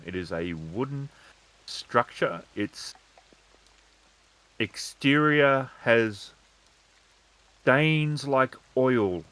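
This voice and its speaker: A man runs a tabletop game as its storyteller. He sets scenes descriptively at a slow, measured pace, with a mid-pitched voice. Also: a bit bright, rough recording.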